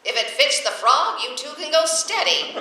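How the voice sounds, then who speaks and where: A woman's voice: sits high, expressive, moderate pace, sharp tone. Woman playing a wry schoolteacher in an echoey hall. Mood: dry, sarcastic. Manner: dry, sarcastic wisecracking. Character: wry, quick-witted female high school English teacher. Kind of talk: old-time radio comedy acting. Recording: very echoey hall, slightly distant mic.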